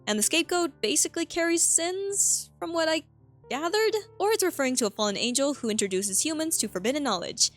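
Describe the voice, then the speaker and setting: A woman's voice: sits high, lively intonation, a little fast. A woman narrating a scripted video analysis in a conversational way, slipping in casual asides and rhetorical questions. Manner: conversational, interjecting own reactions and rhetorical questions. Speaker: video host analysing a horror web series. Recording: voice-over with background music. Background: background music.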